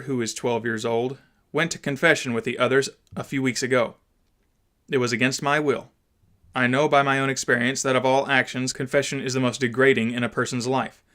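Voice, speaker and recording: Man reading as a stern, indignant father, mid-pitched. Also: sharp tone, lightly acted. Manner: oratorical, emphatic, solemn. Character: indignant father, stern gentleman addressing an assembly. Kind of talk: dramatised audiobook reading.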